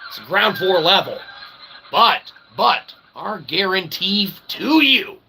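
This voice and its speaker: A man giving a rambling philosophical monologue as a channel host, with a gravelly voice and wordy, mock-formal phrasing broken by folksy, emphatic check-ins. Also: sits high, big pitch swings, slow pace, sharp tone. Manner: emphatic, often checking in with "okay" and "right". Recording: clear background ambient noise.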